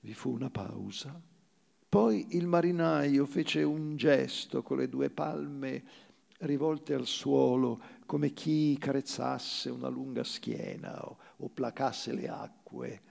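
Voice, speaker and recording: A man giving a theatrical reading of literary fiction, with measured, deliberate phrasing and dramatic pauses. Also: mid-range voice, gravelly, a little mellow. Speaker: actor reading a short story.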